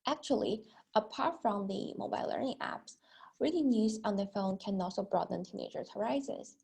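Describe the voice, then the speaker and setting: A woman's voice: medium pitch, expressive, moderate pace, creaky, darkish tone, husky. A young woman delivering a rehearsed persuasive speech, stressing her openers and sometimes repeating a phrase as she goes. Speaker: student speaker.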